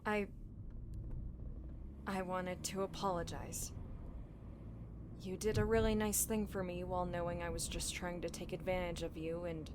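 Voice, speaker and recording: A woman playing a sharp-witted, persistent young newspaper reporter in an audio drama, probing and wry. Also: on the low side, little pitch movement, moderate pace, pauses often, noisy background, close to an everyday voice. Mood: apologetic.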